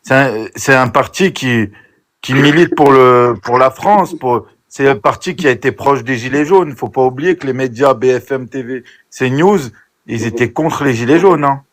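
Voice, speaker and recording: A man's voice: mid-range voice, moderate pace. A man in a heated live political argument, insistent and interrupting, with many hesitations and restarts. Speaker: live debate participant.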